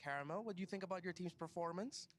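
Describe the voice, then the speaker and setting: A man's voice: high voice, fast, a little hiss or hum. A man interviewing a player backstage, friendly and upbeat. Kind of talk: casual interview.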